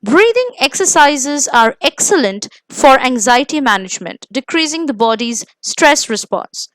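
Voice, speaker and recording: A woman with an Indian accent and a high voice narrates an instructional lesson. Her delivery is scripted, measured and emphatic, as a coach giving tips. The sound is dry and close, with very little room in it. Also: very animated, fairly bright tone, no echo, low-quality recording. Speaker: presenter of interview coaching lesson.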